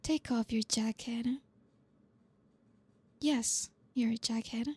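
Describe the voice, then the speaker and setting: A woman playing a flirty, dominant boss in an ASMR roleplay, speaking softly and breathily close to the mic in a teasing, commanding way. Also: a little high, steady tone, no echo, full character voice. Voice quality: soft, breathy, often near whisper. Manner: slow, commanding, teasing, with pauses. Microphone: close mic, dry.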